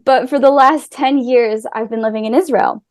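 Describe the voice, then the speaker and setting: A woman's voice: a little high, fairly even intonation, moderate pace, no echo, clean studio sound. A woman with an American accent, raised in America after an early childhood in Wales, talking earnestly as a podcast guest. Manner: earnest, with small restarts. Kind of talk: casual conversation. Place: Israel.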